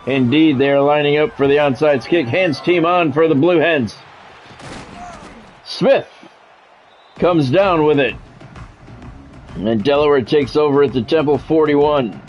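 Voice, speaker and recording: A man's voice: fairly high pitch, a little slow, long pauses, soft background music. A man doing football play-by-play as a game streamer, in short clipped announcer-style calls mixed with casual chat to viewers.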